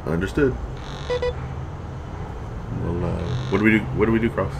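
Man playing a deep-voiced checkpoint captain. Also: moderate pace. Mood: dutiful. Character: dutiful male checkpoint captain. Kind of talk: science fiction audio drama acting. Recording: clear vehicle noise behind.

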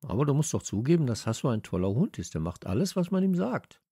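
A man's voice: mid-range voice, sing-song, fast talker, mellow, breathy edge, no echo, clean studio sound, voice pushed up. A man voicing a timid, earnest little girl with a questioning manner in a children's audiobook.

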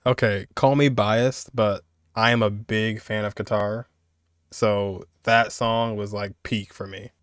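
A young man with a fairly low voice talks casually as he reacts to game footage. He hosts in an informal, conversational way, with faint music behind him. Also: fairly bright tone, dry recording. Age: young adult. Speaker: gaming reaction video host.

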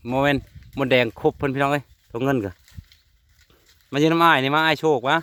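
A man's voice: mid-range voice, steady tone, fast talker, sharp tone, slight background noise. A man with an Isan Thai accent chatting casually to viewers in a vlog, outdoors among rural trees. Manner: chatty, keeps calling out to viewers. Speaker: vlogger.

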